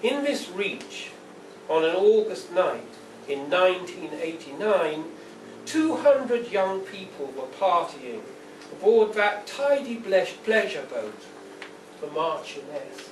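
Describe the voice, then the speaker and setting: A man giving a live poetry reading as the poet, with a measured, deliberate, declamatory delivery. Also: high voice, very animated. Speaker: poet reading own work. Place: indoor venue at a launch reading. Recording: roomy, distant, noisy live event recording.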